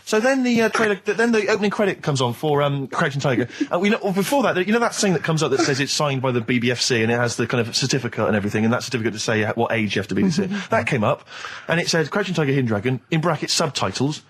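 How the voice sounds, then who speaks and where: A man's voice: mid-range voice, expressive, very fast, few pauses, fairly bright tone, husky. A man, a radio co-host telling comic anecdotes in an exasperated, ranting way. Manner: exasperated ranting storyteller, mimics people he describes.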